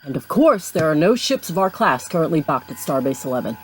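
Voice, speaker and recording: A woman's voice: low voice, big pitch swings, brisk, faint music behind. A woman playing a weary but decisive starship captain in a sci-fi audio drama, terse and wry. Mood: brisk, wry.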